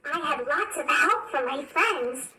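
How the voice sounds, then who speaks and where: A woman's voice: sits high, lively intonation, unhurried, crowd noise. A woman voicing a gracious, reassuring young princess in a children's storybook. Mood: pleased. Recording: roomy, distant, faint noise.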